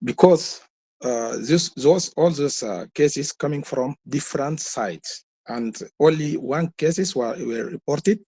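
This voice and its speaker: A man with a Sudanese accent, a health worker speaking on a webinar, giving a halting, repetitive report that leads into questions. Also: on the high side, warm tone, slightly rough. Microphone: webinar call.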